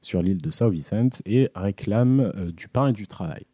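A man's voice: fairly low pitch, expressive, moderate pace, dark tone, breathy edge, dry recording, studio quality. A man hosting a casual podcast, telling a history story with joking asides and frequent hesitations. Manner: joking, trails off with many hesitations. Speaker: podcast host presenting a history segment.

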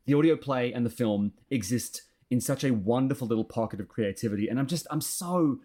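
A man's voice: medium pitch, fairly quick, mellow. An Australian man chatting casually as a podcast host, jokey and effusive in manner.

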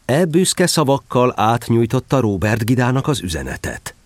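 A man's voice: medium pitch, sing-song, no echo. A man narrating a children's story expressively as part of an audio drama.

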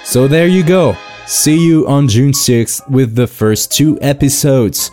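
A man's voice: medium pitch, slow, mellow, soft background music. A French man in his thirties with a French accent, hosting a podcast in a scripted, earnest and enthusiastic manner. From France. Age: 30s. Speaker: podcast host.

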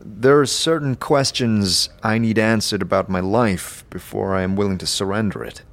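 Man playing a low-voiced, wry immortal wanderer. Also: moderate pace, vocal fry. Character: scarred immortal amnesiac wanderer, questioning and wry. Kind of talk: fantasy audio drama dialogue.

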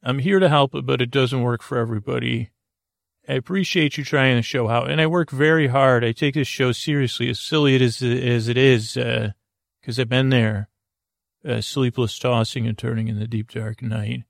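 A man with a gravelly voice hosts a podcast meant to put people to sleep. He talks slowly through an aimless story, often stumbling, restarting and filling gaps with "uh." The sound is close and dry, with no room around it. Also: mid-range voice, steady tone, no echo. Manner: meandering, halting, full of restarts and uhs. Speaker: podcast host. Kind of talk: rambling bedtime story to put listeners to sleep.

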